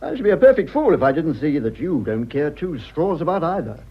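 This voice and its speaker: Petulant posh British husband, fussy and patronising, very animated pitch, period radio drama, slightly muffled sound. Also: male voice, a little fast, husky. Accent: British upper-class RP. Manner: fussy, spluttering, condescending. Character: prim, petulant upper-class husband, politician with taste for decoration.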